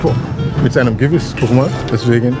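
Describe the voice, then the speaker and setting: A man's voice: medium pitch, a little fast, over loud music. A Gambian man with a West African accent in non-native German, chatting in a jokey, boastful, rambling way as he hosts a vlog. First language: not German. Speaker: vlog host, bodybuilder. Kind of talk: casual, rambling vlog chat. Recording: noisy car and street ambience.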